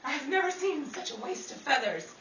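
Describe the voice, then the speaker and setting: A woman playing a chatty, quick-witted actress in a playful 1930s radio comedy. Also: high-pitched, animated, a crowd in the background. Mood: flustered. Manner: playful, wisecracking ad-libs. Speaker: host and lead actress. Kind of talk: 1930s radio comedy acting before an audience. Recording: roomy, distant, noisy live audience recording.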